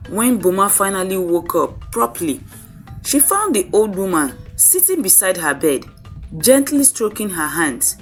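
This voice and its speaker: Slow-paced woman narrating a story. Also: mid-range voice, very animated, soft background music.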